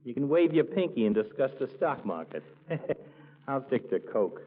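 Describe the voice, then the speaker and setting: A man's voice: medium pitch, big pitch swings, normal speed. A man playing a stubborn, wisecracking small-town working man with an American accent, slangy and argumentative, in 1940s radio comedy. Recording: tinny 1940s radio recording.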